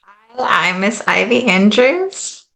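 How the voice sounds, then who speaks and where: A woman's voice: medium pitch, sing-song, slow pace, vocal fry, fairly bright tone. A woman in her late twenties, a guest on a podcast, talking in a casual, chatty way full of "like" and "kind of". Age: late 20s. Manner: chatty, fillers like "like" and "kind of", laughs easily. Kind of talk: casual interview chat.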